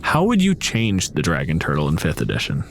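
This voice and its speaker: A man's voice: extremely low pitch, wildly swooping pitch, a little fast, gravelly, noisy background. A man hosting a podcast, chatting about tabletop gaming in an informal, wry way. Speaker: podcast host.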